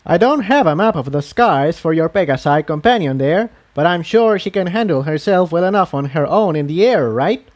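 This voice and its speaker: A man voicing a busy, courteous, absent-minded male pony townsman in a storybook, with a friendly, hurried manner. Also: fairly high pitch, expressive, voice pushed up, lightly acted. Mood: apologetic, helpful.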